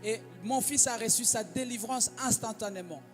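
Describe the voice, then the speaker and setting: A man's voice: very high voice, moderate pace. Man interpreting a sermon, echoing. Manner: echoes the preacher's intensity, shouting along. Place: church hall. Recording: echoey hall with music.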